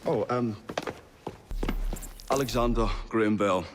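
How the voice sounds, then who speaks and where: An actor playing an earnest, courteous and easily flustered Victorian inventor in a video game. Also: male voice, on the low side, slow, slight background noise. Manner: flustered, hesitant, old-fashioned polite. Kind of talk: video game cutscene acting.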